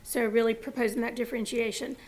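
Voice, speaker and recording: A woman presenting to a school board, explaining with fillers, her voice going hoarse. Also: fairly high pitch, flat delivery, quick pace, darkish tone, low-quality recording. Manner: informal, with fillers and explanatory asides. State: losing her voice.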